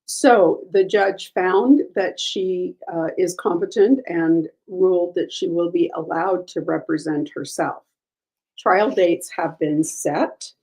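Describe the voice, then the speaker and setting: A woman hosting a true crime show, hoarse and gravelly from a lingering cold, going over a case with frequent ums and uhs. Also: on the low side, takes it slowly, a little mellow, poor audio. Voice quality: gravelly, froggy voice. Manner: careful, with many ums and uhs. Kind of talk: casual case update.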